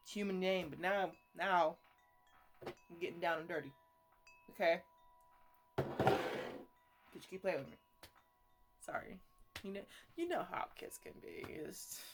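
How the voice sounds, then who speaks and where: A woman playing a teasing, slangy botanist who chats to her plants in a casual ASMR roleplay. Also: fairly low pitch. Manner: rambling, bantering, slangy.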